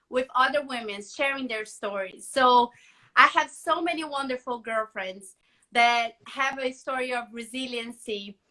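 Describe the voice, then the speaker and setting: A woman journalist hosting a conversational interview, enthusiastic and warm. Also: high-pitched, poor audio. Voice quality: warm, enthusiastic, restarts often. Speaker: host, journalist.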